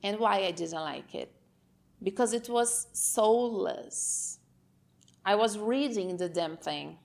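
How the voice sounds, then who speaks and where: Unhurried Brazilian woman talking to camera. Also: mid-range voice, creaky, a little mellow. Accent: Brazilian Portuguese. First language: Portuguese. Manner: frank, rambling, checks in with "okay?". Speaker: channel host. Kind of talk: unscripted talk to camera.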